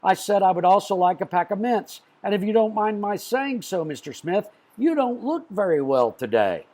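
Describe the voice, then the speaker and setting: A man's voice: sits high, expressive, normal speed, darkish tone, voice pushed up. A man voicing a lonely, courteous storybook man who speaks earnestly and with shy delight. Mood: polite, upbeat.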